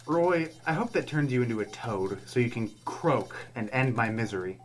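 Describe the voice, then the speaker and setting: A man's voice: medium pitch, normal speed, close to an everyday voice. A man playing a nagging, sarcastic, incredulous sidekick to a reckless companion in a comic radio play.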